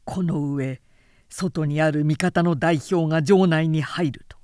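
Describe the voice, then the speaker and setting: A woman's voice: low-pitched, slow, darkish tone, no room sound, clean studio sound. A woman voicing an earnest, ruthless male military adviser in an audiobook, speaking in a firm, formal, persuasive way. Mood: firm, urging.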